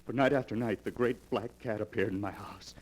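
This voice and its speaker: A man acting a haunted young bridegroom with a low, deep voice. He confesses his fears with intense drama, in the style of a 1940s radio thriller. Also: a little fast, a little hiss or hum, natural voice, rough recording. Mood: haunted, desperate. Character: haunted, fearful young bridegroom recounting his torment to a doctor.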